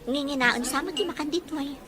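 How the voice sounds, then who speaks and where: A woman acting a gentle young woman in love in a radio drama, with a coy, teasing delivery. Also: high voice, fast talker, crowd noise, lightly acted.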